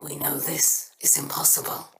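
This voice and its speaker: An actress playing a stern, solemn alien house leader in a video game, speaking in a measured way. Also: female voice, low-pitched, completely flat delivery, fairly bright tone, whispered, rough recording.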